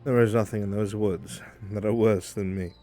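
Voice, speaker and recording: A man's voice: lowish voice, fairly even intonation, normal speed, dark tone, faint music behind, close to an everyday voice. A man playing a commanding, protective fantasy leader in a live roleplay, terse and given to orders. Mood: quiet, protective. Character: commanding, protective man, self-assured dangerous leader of hunters.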